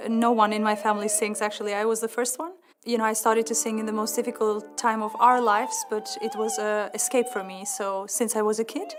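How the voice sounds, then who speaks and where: Earnest, fairly high-voiced woman. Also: little pitch movement, fairly quick, hardly any pauses, over music. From Kosovo. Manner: open, earnest storytelling. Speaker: interviewed soprano.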